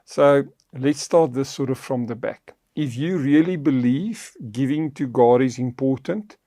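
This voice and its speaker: Gravelly, mellow man. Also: mid-range voice, unhurried, no echo, clean studio sound. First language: Afrikaans. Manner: earnest, preacherly, teaching tone. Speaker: podcast co-host.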